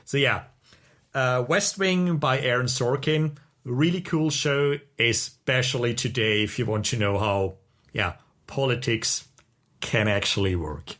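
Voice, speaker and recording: A man's voice: mid-range voice, measured pace, a bit bright. Casual, rambling male podcast host. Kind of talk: casual review chat.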